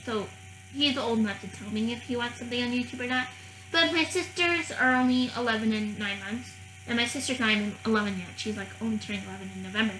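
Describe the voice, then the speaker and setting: A woman's voice: fairly high pitch, sharp tone, slight background noise. A young woman whose first language is French talks casually to her channel viewers, rambling with lots of fillers and restarts. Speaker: vlogger. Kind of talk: casual talking-head vlog. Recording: echoey room.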